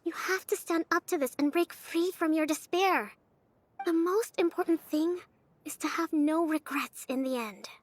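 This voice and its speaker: Very high-voiced earnest little general. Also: female voice, moderate pace. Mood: earnest persuasion. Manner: formal, urgent, persuasive. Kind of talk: video game voice acting.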